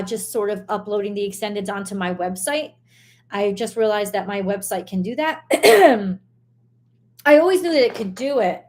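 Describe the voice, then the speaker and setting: A hoarse woman hosting a live tarot stream, talking casually and bluntly, swearing and losing her train of thought as she vents. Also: a little high.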